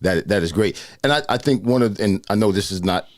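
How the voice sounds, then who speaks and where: Loud, animated man hosting a studio chat. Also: on the low side, brisk, no echo. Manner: loud, animated, playful and talkative. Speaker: podcast host. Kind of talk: casual interview chat. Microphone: studio mic.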